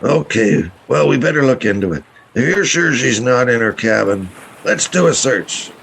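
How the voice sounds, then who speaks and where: Man acting a gravelly, businesslike boat captain. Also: medium pitch, animated, normal speed, fairly bright tone, rough recording. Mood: brisk. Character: sea captain of a tourist lobster boat, practical, businesslike. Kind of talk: radio play acting with first-person narration. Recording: faint background noise.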